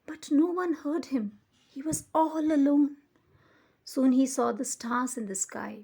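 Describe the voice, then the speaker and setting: Slow, high-voiced woman reading a children's story. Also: gravelly, dark tone. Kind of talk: expressive read-aloud of a children's picture book.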